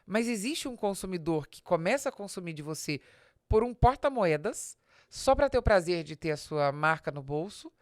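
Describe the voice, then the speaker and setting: A Brazilian woman with a fairly low voice presents a business podcast. She explains in a didactic, direct way, stressing key words and putting questions to the listener. The sound is dry, with very little room in it. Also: animated, normal speed, dry recording. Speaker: podcast host and business advisor.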